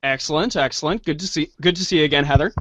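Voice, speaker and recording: A man hosting a show in a casual, halting way. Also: on the high side, lo-fi sound, noisy background. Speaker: host.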